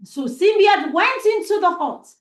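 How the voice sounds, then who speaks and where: A woman telling a children's story as host, deliberate and suspenseful, repeating phrases for emphasis, in an echoey room. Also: extremely high pitch, animated. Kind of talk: dramatic oral storytelling for children. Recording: echoey, roomy sound.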